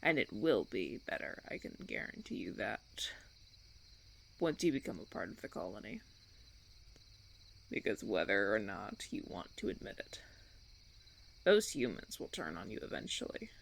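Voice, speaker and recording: Casting: male actor as smooth-talking, menacing vampire for audio roleplay; theatrical, patronizing, wildly varied pitch, some gravel. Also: sing-song, fairly bright tone, a little hiss or hum, heavily acted. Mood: coaxing, veiled threat. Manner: theatrical, deliberate, silky persuasion with veiled threats. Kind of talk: audio roleplay, ASMR monologue.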